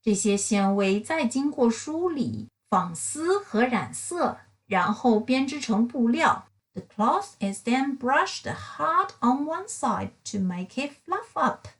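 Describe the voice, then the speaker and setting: A woman's voice: fairly high pitch, big pitch swings. A woman reading a children's science picture book aloud as a narrator, enunciating carefully. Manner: slow, careful enunciation, bilingual English and Mandarin.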